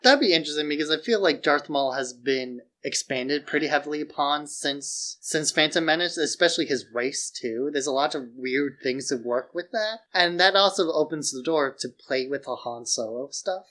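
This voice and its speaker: A man's voice: fairly high pitch, expressive, moderate pace, few pauses, pushed and bright. A man co-hosting a podcast, giving his opinions on films in loose conversational speech peppered with "like". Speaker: podcast co-host. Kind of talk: casual opinionated film chat.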